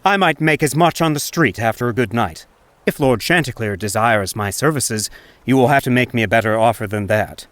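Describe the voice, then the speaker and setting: An actor playing a suave, wily street fiddler with a resonant voice and courtly, flowery, mock-humble speech in an audiobook. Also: male voice, mid-range voice, a little fast, fairly bright tone, no room sound, lightly acted. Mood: indignant. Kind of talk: audiobook character acting.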